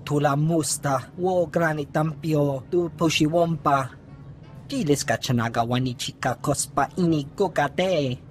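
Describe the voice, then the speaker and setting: A man's voice: fairly high pitch, a little slow, natural voice. A man voicing a small, jumpy alien lookout in a video game, chattering in alien gibberish. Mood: nervous. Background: music.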